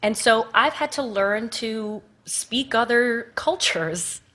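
A woman's voice: on the high side, lively intonation, takes it slowly, sharp tone. A woman with an American accent, speaking directly and passionately as a panel guest. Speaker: panel guest, stage performer. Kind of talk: casual panel conversation.

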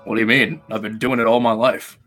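A man voicing a gruff, blunt, hard-drinking adventurer in a tabletop role-playing game. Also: mid-range voice, level tone, fairly quick, fairly bright tone, soft background music, lightly acted. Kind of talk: tabletop role-play in character.

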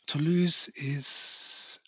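A man hosting a podcast interview who asks relaxed follow-up questions and sums up what he hears. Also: on the high side, extremely slow, breathy edge, no room sound, muffled recording. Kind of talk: casual conversational interview.

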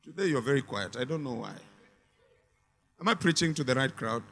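A man's voice: medium pitch, animated, a little fast, fairly bright tone, big-room sound. A man preaching a sermon with emphatic, repetitive phrasing and call-and-response to the congregation.

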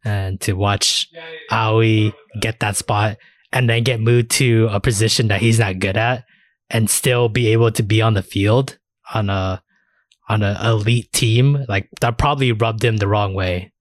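A man's voice: on the low side, steady tone, unhurried, no room sound, clean studio sound. A man hosting a casual anime discussion, talking in a rambling, slangy way with casual swearing. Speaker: podcast host.

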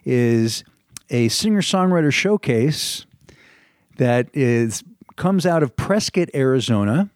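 A man's voice: mid-range voice, lively intonation, takes it slowly, darkish tone, no echo, studio quality. A middle-aged American man hosting an informal radio interview show in a rambling, conversational way. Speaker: radio show host, touring singer-songwriter showcase organizer.

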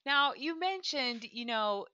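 A woman's voice: sits high, animated, slow, bright, forceful tone, clear, resonant tone, no room sound, muffled recording. A woman hosting an interview, enthusiastic and affirming, laughing often. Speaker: podcast host. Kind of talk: conversational interview.

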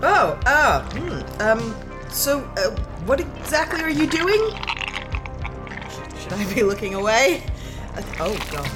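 An anxious spacefaring human woman , played by an actress, talks haltingly with lively swings in pitch. She is awkward and easily flustered, in naturalistic sci-fi audio drama style over faint music.

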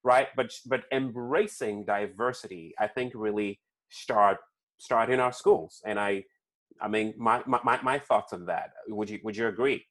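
A man's voice: lowish voice, a little slow, a bit bright. A man with a non-native English accent, hosting a casual conversation, often repeating words and checking in with "right?". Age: young kids, adult. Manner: frequent restarts and "right?" tags. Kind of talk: conversational interview.

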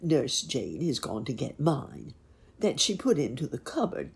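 A woman voicing a kindly, polite gentleman rabbit in a children's story, gentle and courteous. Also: extremely low pitch, sing-song, a little slow, creaky, a little hiss or hum, exaggerated voice.

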